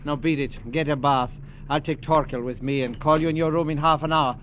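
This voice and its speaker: A man's voice: on the high side, fairly even intonation, brisk, muffled recording, close to an everyday voice. An actor playing a wry, resourceful male fugitive in a 1950s radio drama, dry and slightly formal.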